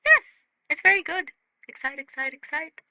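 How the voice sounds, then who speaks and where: Woman acting small-sounding eager alien friend, very bright high voice, clipped broken grammar, affectionate, audio fiction. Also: very animated, moderate pace, dry recording, clean studio sound, full character voice. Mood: excited. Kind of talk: podfic character voice.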